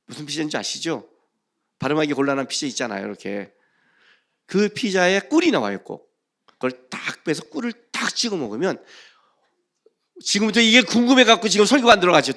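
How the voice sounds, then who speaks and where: A man preaching a sermon as a pastor, reading scripture and questioning the congregation, swinging between loud emphasis and hushed phrases. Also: on the high side.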